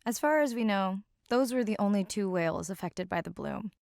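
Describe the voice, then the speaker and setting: Gravelly-voiced young marine scientist. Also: female voice, mid-range voice, animated, fairly quick, a little mellow, no echo, clean studio sound. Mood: reflective. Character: young female marine scientist, caring, reflective diver. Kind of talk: scripted game narration, natural and intimate.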